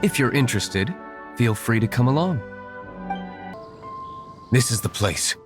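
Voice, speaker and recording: Guy doing a stoic young nobleman vigilante, cool and measured, game dialogue over clear music. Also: mid-range voice, expressive, close to an everyday voice. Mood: composed. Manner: measured, stoic, matter-of-fact. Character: cool, guarded aristocratic male vigilante hero, young adult. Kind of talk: video game voice acting.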